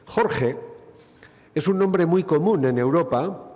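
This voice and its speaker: Middle-aged male gynecologist, expansive and wry, raised pitch, lecturing in a reverberant hall. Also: animated, slow, darkish tone. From Basque Country, Spain. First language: Basque. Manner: expansive, witty and rhetorical, with dry asides. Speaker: gynecologist giving a conference lecture. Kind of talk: conference lecture.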